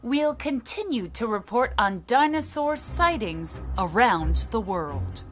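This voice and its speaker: A serious television news anchor in a motion comic. Her voice sits fairly high and her delivery is formal and measured. Music plays behind her. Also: animated. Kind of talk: scripted television newscast acting.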